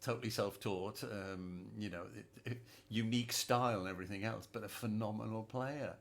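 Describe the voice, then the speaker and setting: A man's voice: lowish voice, steady tone, moderate pace. A man hosting a casual music chat, hesitant and repeating words, laughing often. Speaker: host.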